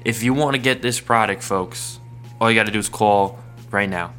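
A man's voice: normal speed, pushed and bright, soft background music. A man playing a pushy TV shopping channel host, hyping a product to the folks at home. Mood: hyped. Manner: salesy, hyped pitch to viewers.

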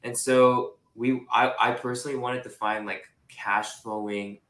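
A young man from Japan who grew up in Singapore, a real estate investor talking casually as an interview guest, relaxed and chatty with lots of "like" fillers. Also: medium pitch, unhurried, roomy sound. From Japan, raised in Singapore. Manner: relaxed, chatty, many "like" fillers, laughs easily.

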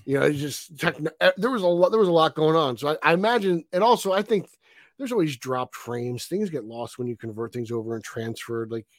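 A man's voice: on the high side, lively intonation, brisk, no room sound, clean studio sound. A man co-hosting a podcast, chatting casually and joking, laughing often. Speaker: podcast co-host. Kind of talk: casual chat.

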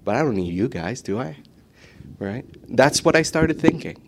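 A man sharing his recovery on a conference panel, halting often with fillers and restarts. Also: medium pitch, very animated, noisy background. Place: conference meeting room.

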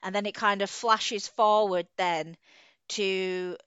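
A woman whose family is from Ireland, co-hosting a casual book chat in an animated way and laughing often. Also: medium pitch, slow pace, clean tone, dry recording. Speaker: podcast co-host. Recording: dull lo-fi audio.

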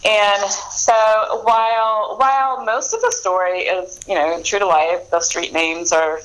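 A woman's voice: mid-range voice, steady tone, unhurried, a bit bright, poor audio. A woman author being interviewed, talking casually with many fillers and restarts. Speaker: author guest.

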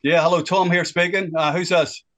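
A man's voice: high-pitched, fairly even intonation, normal speed, fairly bright tone. A man in his sixties with a Northern Irish accent, a guest on a phone-in radio chat, speaking earnestly and at length about what bothers him. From Northern Ireland. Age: 60s.

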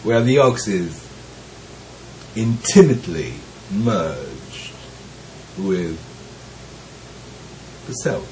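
A man gives a teaching talk at a very unhurried pace, and his voice is still deepened from a recent bout of bronchitis. He speaks gently and leaves long pauses between phrases. A faint hiss sits under the recording. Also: sing-song, rough recording. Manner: slow, pausing, gentle with soft laughs. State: recovering from bronchitis, deep voice. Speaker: Zen teacher. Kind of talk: slow reflective teaching talk.

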